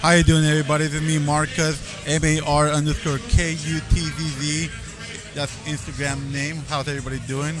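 A man who owns a barbershop, talking in a relaxed, reflective way with lots of fillers. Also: fairly high pitch, a little fast, over music. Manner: relaxed, reflective, full of fillers like 'you know'. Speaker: barbershop owner, interview guest. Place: event backdrop at a barber competition. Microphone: handheld mic held by interviewer.

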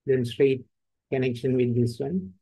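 A man with an Indian English accent giving terse, step-by-step instructions in a screen-recorded software tutorial. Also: mid-range voice, a little slow, slightly rough, no echo, poor audio.

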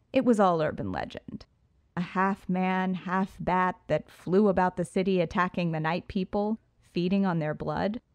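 Woman narrator, first-person audiobook, poised and sultry, lowish voice, close and dry. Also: a little mellow, dry recording.

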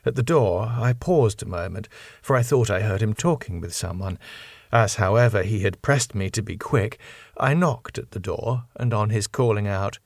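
A man's voice: lowish voice, normal speed, darkish tone, dry recording, studio quality. A man reading a classic novel as scripted, dramatic audiobook narration. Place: quiet dry recording space.

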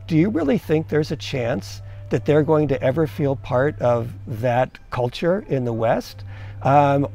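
A man's voice: fairly high pitch, warm tone. A middle-aged man from the United States, an expat giving earnest, conversational advice to viewers and asking them questions. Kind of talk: casual advice to camera.

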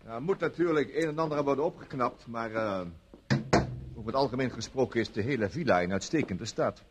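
An actor in a Dutch radio play as an evasive villa owner, polite but hesitant and blustering. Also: male voice, medium pitch, a little fast, heavy background noise, natural voice. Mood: courteous, eager. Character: smooth-talking villa owner, evasive, indignant when challenged.

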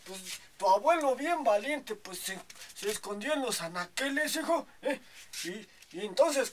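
Guy doing a high reedy old mexican grandpa, super slow, rambling and bossy. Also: fairly bright tone, slight background noise, exaggerated voice. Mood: boastful. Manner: rambling, tags nearly every phrase with "hijo, ¿eh?". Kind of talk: comedy sketch acting.

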